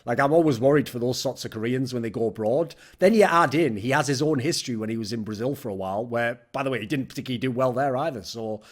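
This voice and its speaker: Man, rapid delivery, blunt opinionated rant, podcast guest commentator. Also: medium pitch. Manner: blunt, ranting, swears casually.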